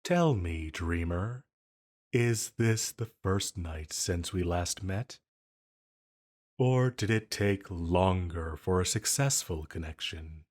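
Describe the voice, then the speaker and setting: A man playing an ancient banished villain in a roleplay audio drama, flirty and condescending, with a measured, silky, intimate delivery. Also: low voice, lively intonation, darkish tone, heavily acted. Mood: wistful, intimate.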